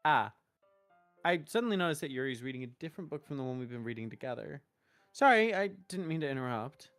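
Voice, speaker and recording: A woman streaming a visual novel, reading narration and dialogue aloud expressively, halting in places. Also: extremely low pitch, lively intonation. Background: game music.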